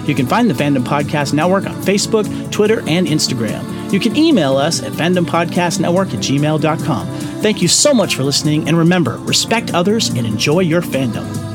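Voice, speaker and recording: A man's voice: a little high, expressive, fairly quick. A man reading a scripted promotional announcement for a podcast network. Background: music.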